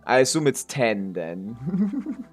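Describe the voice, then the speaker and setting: A man's voice: mid-range voice, wildly swooping pitch, slow. A man streaming a game with casual, rambling, self-questioning commentary. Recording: stream with game music behind.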